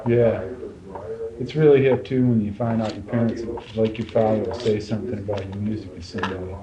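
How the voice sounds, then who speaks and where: A man's voice: lowish voice, steady tone, a little fast. An American man working as a music interviewer, chatting informally and often putting in short words of agreement. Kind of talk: casual interview chat. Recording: distant, echoey muffled tape with crowd chatter.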